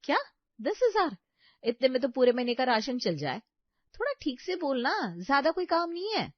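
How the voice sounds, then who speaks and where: A woman's voice: sits high, very animated, moderate pace, no room sound, dull audio, voice pushed up. A woman voicing a thrifty, exasperated housewife who complains and bargains emphatically in a comedy story. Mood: haggling. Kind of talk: animated comedy story dialogue.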